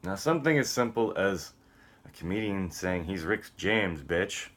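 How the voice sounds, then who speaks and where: A man's voice: deep voice, animated, normal speed, fairly bright tone. A man on a rambling solo vlog rant, profane and combative, swinging between muttering and outbursts. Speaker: vlogger.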